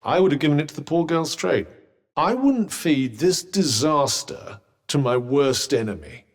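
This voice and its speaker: An actor voicing a sardonic talking magic bracelet in a video game, speaking in a dry, wry, teasing way. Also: male voice, on the high side, sing-song, measured pace. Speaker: companion character.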